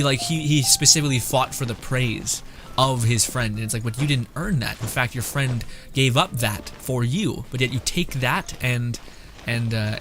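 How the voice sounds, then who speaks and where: A man's voice: medium pitch, fairly bright tone. A man giving casual, rambling game commentary, thinking aloud as he streams. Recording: game music behind the voice.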